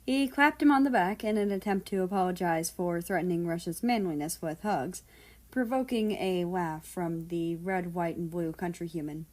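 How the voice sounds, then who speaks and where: A woman reading fiction aloud as an expressive narrator of a story. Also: on the low side, moderate pace. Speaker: fan fiction reader.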